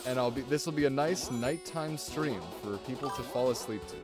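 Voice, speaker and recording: A man streaming a video game, chatting casually with viewers in an excitable, rambling way and swearing often. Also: medium pitch, moderate pace. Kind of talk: casual gameplay commentary. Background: music.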